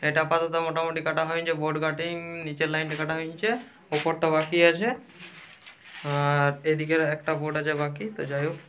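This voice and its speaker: A man's voice: fairly high pitch, flat delivery, a little fast. A man narrating a casual vlog to viewers as a working electrician, recorded on a phone at a wiring job. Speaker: vlogger and electrician. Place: house room under wiring work. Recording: loud power-tool noise behind, phone recording. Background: power tools cutting walls.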